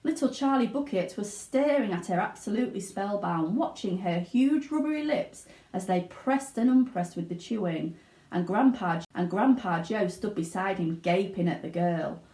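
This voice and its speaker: A woman reading a children's storybook aloud as narrator, in an expressive style. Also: mid-range voice, moderate pace, roomy sound, rough recording. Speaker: school teaching assistant reading aloud.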